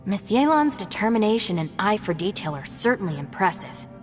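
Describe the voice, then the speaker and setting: A woman's voice: medium pitch, sing-song, fairly quick, in a large hall, faint music behind, lightly acted. A woman voicing an earnest, cautious young woman adventurer in a video game who speaks formally and carefully.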